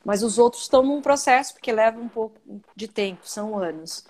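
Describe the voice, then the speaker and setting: A woman's voice: on the high side, brisk. Outspoken Brazilian woman on a live call. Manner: animated, outspoken storyteller. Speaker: guest, coffee journalist and podcaster. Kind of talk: casual interview chat. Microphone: live video call.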